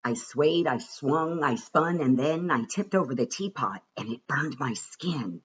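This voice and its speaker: Husky, expressive man reading slowly. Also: on the high side, lo-fi sound. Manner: rhythmic, expressive, deliberate delivery. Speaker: reader of a children's picture book. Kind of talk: rhyming storybook narration, read aloud.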